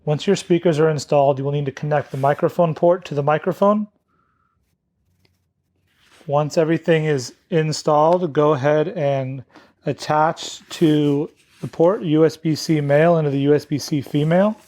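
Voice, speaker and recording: A man presents a hands-on product tutorial. His voice sits a little higher than usual for a man, and he speaks in the instructional style of a demonstrator going step by step. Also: moderate pace, a little mellow.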